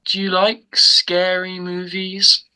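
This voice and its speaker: A man's voice: high-pitched, completely flat delivery, takes it slowly, pushed and bright, clear, resonant tone, heavily acted, poor audio. A man acting a mysterious phone stalker in an online table read, coaxing and insinuating with feigned politeness. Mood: menacing. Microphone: video call microphone.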